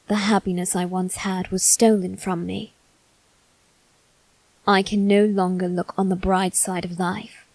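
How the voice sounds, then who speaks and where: A woman's voice: mid-range voice, a little mellow, no echo, low-quality recording. A woman voicing a haughty, bitter young noble boy in an ASMR roleplay, speaking formally and with cold condescension. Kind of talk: ASMR character roleplay, scripted monologue.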